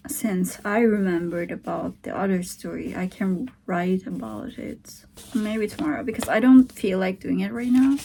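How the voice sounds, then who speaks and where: A young Turkish-accented woman talks slowly, pausing as she thinks things over, her voice carrying a gravelly fry. She muses in a hesitant, unhurried way and now and then drops into soft whispered asides, like someone recording at home on the floor. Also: mid-range voice, slight background noise, rough recording. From Turkey. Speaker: vlogger. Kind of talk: casual thinking-aloud vlog. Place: at home, sitting on the floor beside a heater.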